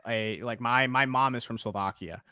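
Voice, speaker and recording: A man's voice: mid-range voice, moderate pace, pushed and bright, slight background noise. An American man hosting a casual podcast interview, giving advice in a conversational way. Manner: advice-giving, conversational, many "you know"s. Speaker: podcast host.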